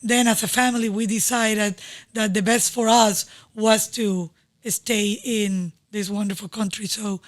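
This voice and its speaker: A woman in her forties from Venezuela, a native Spanish speaker with a Venezuelan Spanish accent, talking as a podcast guest in a studio. She speaks in a warm, halting way with many pauses and small grammar slips. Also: medium pitch, fairly even intonation. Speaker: guest, real estate agent. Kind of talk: casual interview.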